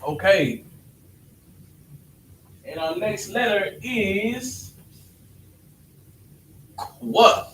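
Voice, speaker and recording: A man's voice: high-pitched, expressive, drawn-out words, long pauses, fairly bright tone. A man teaching a class on alphabet letters, casual and often checking that his students understand. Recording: echoey room, distant mic, faint noise.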